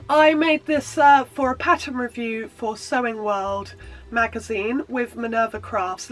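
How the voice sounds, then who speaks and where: A woman's voice: fairly high pitch, a bit bright, rough recording. A woman sewing vlogger with a blocked nose from a cold, chatting in a bubbly, rambling way with lots of ums. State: congested from a cold. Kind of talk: casual chatty show-and-tell.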